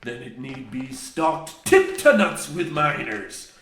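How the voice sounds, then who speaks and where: Slow, crazed old mine foreman. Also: mid-range voice, big pitch swings, a bit bright. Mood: fanatical fervor. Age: older. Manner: theatrical, archaic pirate-like rustic speech, preachy. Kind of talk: parody of old radio horror acting. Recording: roomy echo, faint noise.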